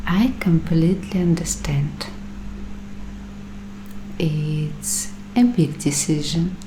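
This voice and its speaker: Very slow, hushed paranoid prepper woman's ASMR roleplay. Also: sits low, reverberant, background noise. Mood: ominous, urgent. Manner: hushed, deliberate, secretive, conspiratorial. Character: paranoid doomsday-prepper waitress interviewing a bunker roommate. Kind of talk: ASMR roleplay, soft-spoken with whispering.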